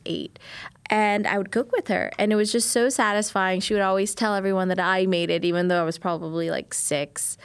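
A woman's voice: mid-range voice, clear, resonant tone, no room sound. A young woman from New Orleans, a chef, answering interview questions candidly and laughing easily. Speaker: chef, cooking competition contestant.

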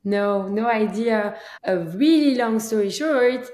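A woman's voice: a little high, slow pace, darkish tone. Roomy-sounding woman with a Belgian accent. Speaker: guest, company founder. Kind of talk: casual interview storytelling.

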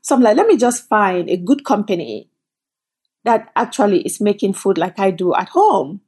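A middle-aged woman from Cameroon, a company founder telling her story as a guest in an interview, lively and quick in bursts. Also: mid-range voice, husky. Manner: animated, storytelling, quick bursts, laughs often. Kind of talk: conversational interview.

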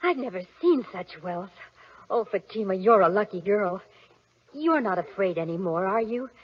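An actress playing a practical, loyal young sister with an earnest, theatrical delivery in an old-time radio drama. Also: female voice, mid-range voice, lively intonation, moderate pace, breathy edge. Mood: reassuring. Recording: noisy, muffled old radio recording.

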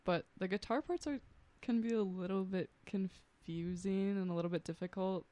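A woman's voice: medium pitch, moderate pace, mellow. A young woman student musician answering radio interview questions briefly and plainly. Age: young adult. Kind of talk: casual interview. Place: radio studio.

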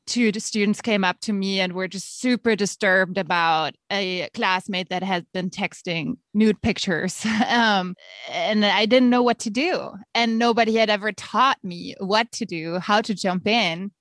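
A woman's voice: medium pitch, a bit bright, dry recording. A woman with a German accent, a former teacher, speaking as an earnest, open guest on a podcast. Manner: earnest and open, laughs easily. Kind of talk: interview conversation.

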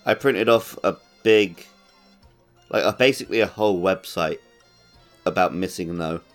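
A man's voice: low voice, moderate pace, soft background music. A man co-hosting a casual gaming podcast, chatting in a jokey way and slipping into little mimicked quotes. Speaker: podcast co-host.

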